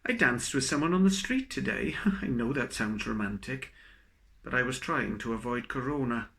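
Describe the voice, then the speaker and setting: Man performing comic verse, very animated pitch. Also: normal speed, gravelly. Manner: theatrical, expressive storytelling. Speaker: poet reading own work. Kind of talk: dramatic comic poetry recital.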